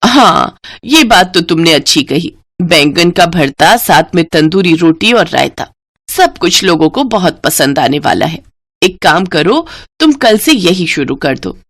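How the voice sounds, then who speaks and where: A woman's voice: fairly low pitch, very animated, a little slow, a bit bright, dry recording, pitched below the performer's own voice, heavily acted. A woman voicing a kindly, practical food-cart owner in a story, frank and encouraging.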